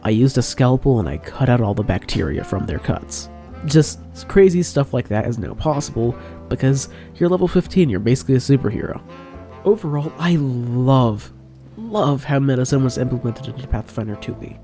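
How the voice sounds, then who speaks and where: A man's voice: medium pitch, expressive, moderate pace, mellow, soft background music. Congested man explaining game rules. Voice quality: nasal. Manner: enthusiastic, plain explaining. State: congested from a cold. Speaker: game guide creator. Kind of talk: explanatory voice-over over slides. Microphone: new microphone.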